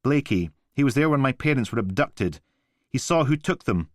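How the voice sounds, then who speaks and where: A man's voice: medium pitch, lively intonation, normal speed, dry recording, studio quality, voice pushed up, lightly acted. A man voicing a pushy male detective who talks urgently and insistently.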